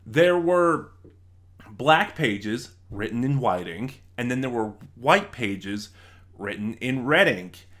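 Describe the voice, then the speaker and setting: A man giving an unscripted review in a conversational, halting way, with emphatic stresses and quick asides. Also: medium pitch, animated, fairly bright tone. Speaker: reviewer, video host. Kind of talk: unscripted review commentary, explaining a plot.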